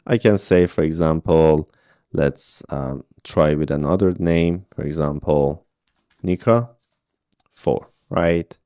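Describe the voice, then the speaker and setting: A man guides beginners through coding tasks one step at a time. His voice sits very low and mellow. The sound is close and dry, with pauses to ask whether the learner is following. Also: no echo. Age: 30s. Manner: patient step-by-step explaining with frequent "okay?" checks. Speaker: programming instructor. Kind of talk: screen-recorded coding tutorial.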